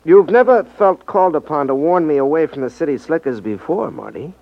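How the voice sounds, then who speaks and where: A man's voice: a little high, lively intonation, a little fast. An actor playing a sly ex-magician con man in a 1950s radio drama, talking in a wry, mock-polite, hesitant way. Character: smooth, wry male ex-magician con man, feigning innocence. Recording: dull vintage 1950s radio recording.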